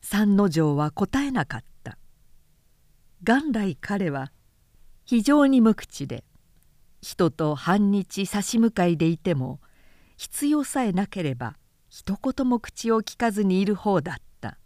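A woman narrates a literary tale at an unhurried pace. Her intonation moves widely and expressively, in a measured storytelling style. The recording is dry and close. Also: mid-range voice, big pitch swings, warm tone, no room sound.

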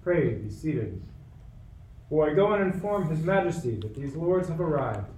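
A roomy, slightly distant radio play recording. A man acts a fussy, fawning courtier with a fairly high voice, formal and anxiously obsequious. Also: big pitch swings, a little slow, warm tone. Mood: polite, anxious. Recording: roomy, distant mic, faint noise.

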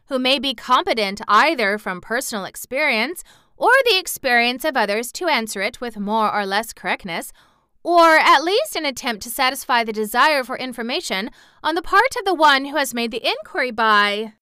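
A long-winded village woman, read by a woman, talking in one endless roundabout stream. Her voice is bright and very clear, close and dry. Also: high-pitched, expressive, moderate pace, no echo, pitched above the performer's own voice. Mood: wordy.

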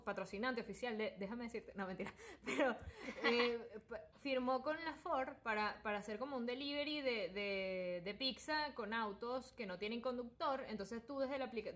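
She is a young Venezuelan woman with a fairly high voice. She hosts in a loose, conversational way, spinning anecdotes with animated delivery. Her talk is full of slang and joking. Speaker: podcast host. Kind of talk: casual humorous conversation, telling anecdotes.

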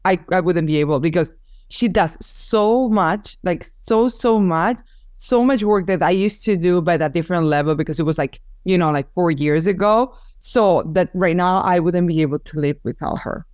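A woman's voice: on the low side, moderate pace, darkish tone, clear, resonant tone, dry recording, clean studio sound. A woman whose first language is Spanish, talking through her work in a casual interview and often restarting as she hunts for words. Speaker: business owner, photographer.

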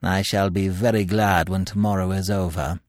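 A man's voice: low voice, steady tone, moderate pace, no room sound, studio quality, close to an everyday voice. A man voicing a courteous, anxious police commissioner in an audiobook, formal and businesslike. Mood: concerned.

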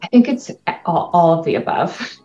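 A Canadian woman with a lowish voice chats easily as an interview guest, upbeat and quick to laugh. Her voice comes through a dull, muffled line in an echoey room. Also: mellow, soft background music. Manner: upbeat, laughs often, chatty. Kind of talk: casual interview chat.

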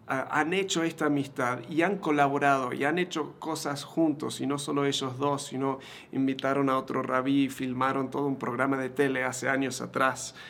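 A man's voice: medium pitch, flat delivery, moderate pace, creaky, few pauses, fairly bright tone, slight background noise. A man in his thirties with an Argentine Spanish accent, hosting an interview podcast in a conversational style. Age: 30s.

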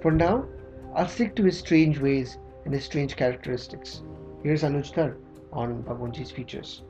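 A man narrating a scripted history documentary in a measured, read-aloud way. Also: medium pitch, dark tone. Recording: slightly dull audio with music underneath.

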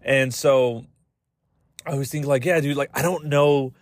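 A man's voice: mid-range voice, unhurried, dry recording. Guy doing a podcast, loose funny rambling, swears a lot, slangy, dry close sound. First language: English. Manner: slangy, profane, animated retelling with quoted exchanges. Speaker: podcast host. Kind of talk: casual comedic rambling monologue.

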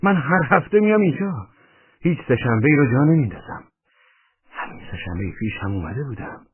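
Casting: man as brash male trespasser, argumentative bluster, pitch leaping about, boxed into a tinny narrow band. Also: lively intonation, slightly rough. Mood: indignant. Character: brash, blustering male intruder, quick to argue. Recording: tinny band-limited radio-like sound.

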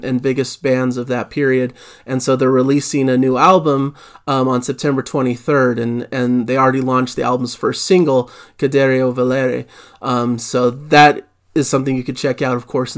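Guy doing a casual music news recap, mid pitch, lots of ums and restarts. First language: not Italian. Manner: easygoing, many fillers and restarts.

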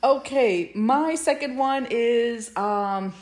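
A woman's voice: on the high side, takes it slowly, darkish tone. A woman chatting casually as a podcast co-host, often hesitating as she searches for words. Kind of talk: casual chat about fan fiction.

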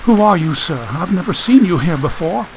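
A man's voice: sits high, lively intonation, brisk, slight background noise, pitched above the performer's own voice, rough recording. A man voicing a frightened, sick young woman in an audiobook, plaintive and polite. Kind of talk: audiobook character voice.

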